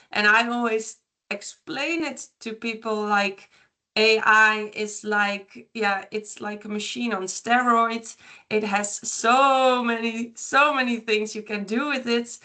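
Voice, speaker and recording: A woman's voice: medium pitch, sharp tone. A woman from the Netherlands presenting on a webinar, friendly and enthusiastic. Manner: friendly and enthusiastic, with occasional laughs.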